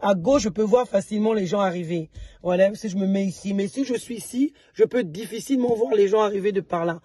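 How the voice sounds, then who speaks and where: A woman from DR Congo, an entrepreneur chatting casually to her viewers in a vlog. She is slightly hoarse and often restarts and repeats words. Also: mid-range voice, fairly quick, mellow, dry recording, studio quality. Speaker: entrepreneur and vlogger.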